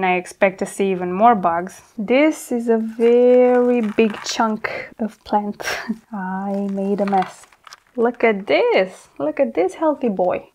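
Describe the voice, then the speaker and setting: A woman's voice: fairly high pitch, darkish tone. A young woman hosting a plant vlog, talking casually and candidly as she works with her hands, informal and mildly sweary. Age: young adult. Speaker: plant channel host. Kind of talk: casual hands-on tutorial chat. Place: indoor plant studio at a worktable.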